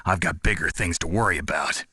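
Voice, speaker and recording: A gruff, stoic male soldier from a video game. His voice is very low, hard-edged and forceful, with a gravelly rasp, and he speaks in terse, hard-boiled questions and replies. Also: fairly quick, dry recording, studio quality, heavily acted. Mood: serious, disbelieving. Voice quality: gravelly, rough. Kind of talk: dramatic video game voice acting.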